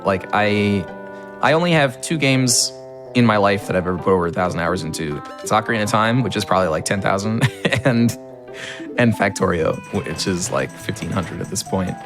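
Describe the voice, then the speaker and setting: A man, a speedrunner, chatting casually while playing, his voice a little raspy from being ill, talking with lots of fillers and restarts. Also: lowish voice, fairly quick. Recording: music playing behind.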